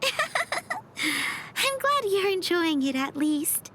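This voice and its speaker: A woman's voice: very high-pitched, sing-song, extremely slow, pushed and bright, a little hiss or hum, heavily acted. A woman voicing a sweet, insecure teenage schoolgirl in an anime game, speaking hesitantly and earnestly. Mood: wistful, flustered. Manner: hesitant, earnest, self-deprecating. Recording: music behind the voice.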